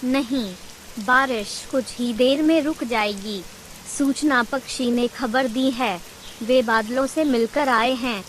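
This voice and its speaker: A greedy old mother-in-law bird from a cartoon, acted by a woman, with a very high voice. She nags and taunts in slow, dramatic, drawn-out phrases, over faint background noise. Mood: scheming, coaxing. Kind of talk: Hindi cartoon character acting.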